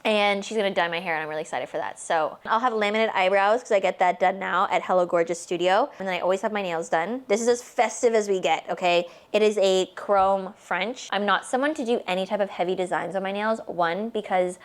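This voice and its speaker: A woman's voice: mid-range voice, brisk, few pauses, clean tone. A woman in her late twenties from Canada, chatting casually in a rambling way, filling her talk with "like" as she vlogs while baking. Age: 20s. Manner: rambling, heavy use of "like"; talks with her hands. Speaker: vlogger.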